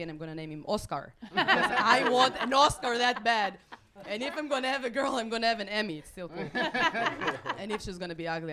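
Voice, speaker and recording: A woman in her late twenties from Israel, with an Israeli accent and Hebrew as her first language, performing stand-up with deadpan, self-mocking delivery and halting restarts. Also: medium pitch. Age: late 20s. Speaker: stand-up comedian. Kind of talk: stand-up routine. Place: comedy club stage with a live audience.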